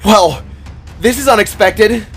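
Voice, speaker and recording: A man's voice: high-pitched, takes it slowly, a bit bright, faint music behind, voice pushed up. A man voicing a fiery, wary female fighter in a fantasy story, sounding startled. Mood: surprised.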